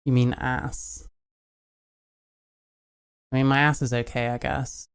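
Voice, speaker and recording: A British woman answers her viewers' comments in a casual, deadpan way, keeping most replies short. Her voice is smooth and resonant with a gravelly edge of vocal fry. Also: rumbling low, takes it slowly. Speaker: streamer.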